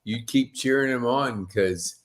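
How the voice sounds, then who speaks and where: A man's voice: medium pitch, a little slow. A middle-aged man hosting a casual podcast interview, effusive and rambling, with stop-and-start questions. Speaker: podcast host.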